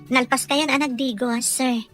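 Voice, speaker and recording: High-voiced actress as a patient female caregiver. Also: steady tone, measured pace, a bit bright, faint music behind. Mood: patient, coaxing. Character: patient, respectful female caregiver to a wealthy man. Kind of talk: Ilocano radio drama acting.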